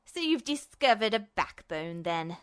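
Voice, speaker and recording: Bitter, smug villain for audio fiction, a man played by a woman: lowered voice, hissing contempt, cold snarl. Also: big pitch swings, unhurried, a bit bright, clean tone. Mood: cold disgust.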